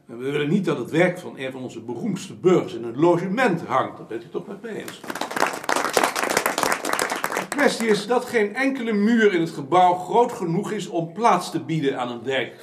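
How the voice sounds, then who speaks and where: An actor playing a formal, emphatic gentleman councillor in a period radio drama, eloquent and scornful of his peers. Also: on the high side, animated, normal speed, some chatter behind, a little hiss or hum, natural voice. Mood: earnest, oratorical. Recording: roomy, echoing studio sound.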